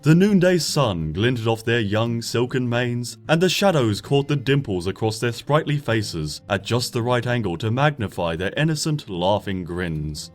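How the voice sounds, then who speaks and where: A man narrating a story aloud, expressive, rising brightly and then dropping to a hush. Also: medium pitch, unhurried, hardly any pauses. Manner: expressive, swings between bright and hushed. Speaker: fanfiction reader. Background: soft guitar music.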